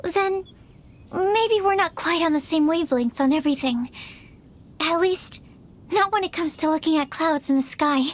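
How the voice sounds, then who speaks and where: An actress playing a shy, earnest, bookish young woman alchemist in a video game, speaking hesitantly and awkwardly. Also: extremely high pitch. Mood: gentle, hesitant. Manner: hesitant, soft-hearted, halting phrasing.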